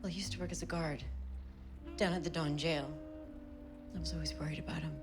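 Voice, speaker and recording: An actress playing a grieving, plain-spoken widow in a period TV drama, subdued, wistful and rueful. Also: female voice, low-pitched, steady tone, fairly quick, over music, natural voice.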